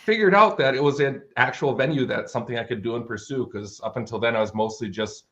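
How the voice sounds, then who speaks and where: A man's voice: mid-range voice, fast talker, a bit bright. American man, slightly muffled audio. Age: 30s. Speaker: interview guest, fantasy author. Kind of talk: casual conversational storytelling.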